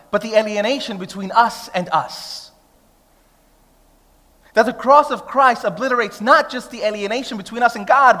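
A man's voice: high-pitched, bright, forceful tone. A man, preaching a sermon in a conversational, humorous way that builds to emphatic declarations. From Brooklyn, New York. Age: 30s. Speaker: pastor. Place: church hall. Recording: long reverb of a church hall.

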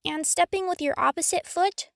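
A woman's voice: extremely high pitch, moderate pace, gravelly, dry recording, clean studio sound, voice pushed up. A woman voicing a polite, eager, evasive young boy wizard in a storybook reading. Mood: eager, helpful.

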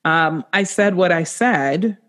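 A woman's voice: on the low side, expressive, slow pace, fairly bright tone. A woman hosting a podcast, who speaks in a thoughtful, affirming way. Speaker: podcast host. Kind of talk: casual podcast interview.

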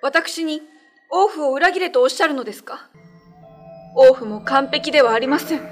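A woman's voice: high voice, moderate pace, faint music behind, close to an everyday voice. A woman playing a suffering but dignified imprisoned seer in a radio drama, formal, polite and earnest. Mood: defiant, earnest.

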